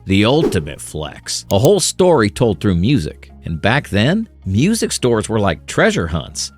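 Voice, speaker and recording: A man with a fairly low voice narrates over faint music. His delivery is very animated, swinging up and down in pitch. He reads scripted nostalgic material playfully, breaking it into short, dramatic phrases. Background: music under the voice.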